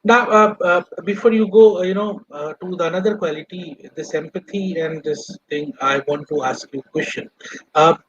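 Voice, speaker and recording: Halting male moderator, lo-fi sound. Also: on the high side, steady tone, fairly bright tone, slightly rough. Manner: halting, many fillers. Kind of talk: live Q&A moderating. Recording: dull lo-fi audio, faint hum.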